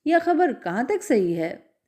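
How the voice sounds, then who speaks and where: A woman's voice: sits high, big pitch swings, takes it slowly, a little mellow, exaggerated voice. A woman voicing a proud, stern old Brahmin father who scolds harshly in a storybook reading.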